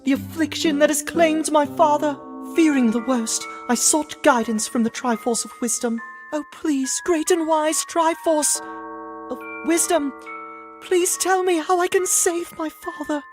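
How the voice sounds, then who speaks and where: A woman's voice: high-pitched, a little slow, over music. A woman playing an earnest, bossy young princess in a theatrical cartoon parody dub.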